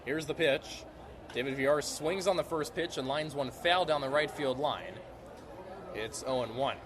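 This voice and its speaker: A man's voice: mid-range voice, moderate pace. A man calling live sports play-by-play as a broadcast announcer. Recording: clear arena and ballpark noise.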